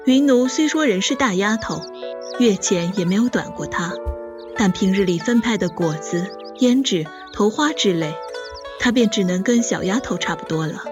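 A woman's voice: mid-range voice, lively intonation, normal speed, muffled recording, natural voice. A woman playing the composed storyteller, reading scripted narration for a Chinese period audio drama. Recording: clear music underneath.

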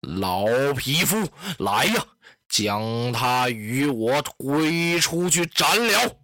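Menacing tyrant chancellor as voiced by a storyteller, slow, low, commanding, lively swoops of pitch, dry. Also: dry recording, full character voice. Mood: furious. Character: menacing tyrannical male chancellor, middle-aged.

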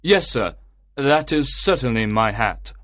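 A man voicing a polite, excitable, grateful gentleman with a deferential, effusive manner in a storybook reading. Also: mid-range voice, unhurried, dry recording, clean studio sound, voice pushed up. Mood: excited, relieved. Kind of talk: acted audiobook dialogue.

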